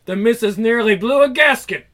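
A man's voice: extremely high pitch, moderate pace, a bit bright, voice pushed up. A man voicing an outraged sixty-nine-year-old male lorry driver who blusters indignantly.

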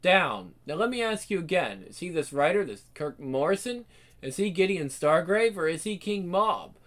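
A woman's voice: lowish voice, sing-song, moderate pace. An actress playing a cold, domineering female villain who gives orders and mocks her servant in a radio drama. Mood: commanding. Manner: icy, commanding, mocking.

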